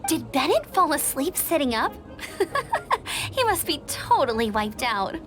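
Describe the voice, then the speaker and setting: A woman's voice: sits high, big pitch swings, unhurried, music in the background. A woman playing a friendly, eager young woman adventurer in a video game, chatty and perky. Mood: amused. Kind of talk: video game character acting.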